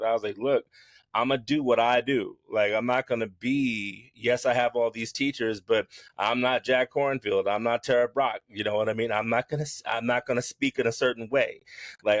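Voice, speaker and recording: A man speaking as a podcast guest and yoga teacher, frank and lively, laughing often as he talks. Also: mid-range voice, no echo. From United States. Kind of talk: candid conversational interview.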